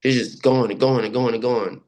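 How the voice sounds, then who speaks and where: A man shares personal experiences in a casual discussion. His voice is deep, and he tells things haltingly, often repeating a phrase before he moves on. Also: steady tone, a little mellow. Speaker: participant sharing personal experiences.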